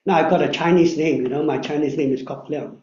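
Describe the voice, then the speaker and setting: Man in his 60s, lay preacher, high mellow voice, chatty anecdotal sermon, echoey hall off a distant mic. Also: quick pace, highs cut off. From Malaysia. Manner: chatty, anecdotal, frequent "uh" and "right?" asides. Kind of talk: storytelling sermon. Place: church hall.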